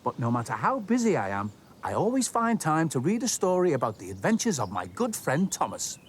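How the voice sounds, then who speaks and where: A man narrating a story for children as host, expressive and speaking directly to young listeners. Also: fairly high pitch, a little fast, slight background noise. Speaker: storytelling host of a children's show, station worker persona.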